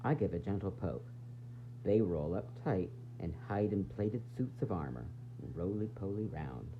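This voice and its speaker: Very animated man reading a children's storybook. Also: sits low, measured pace. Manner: dramatic, sing-song phrasing with varied emphasis. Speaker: teacher reading a picture book aloud. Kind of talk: expressive children's storybook read-aloud. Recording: dull lo-fi sound, faint noise and hum.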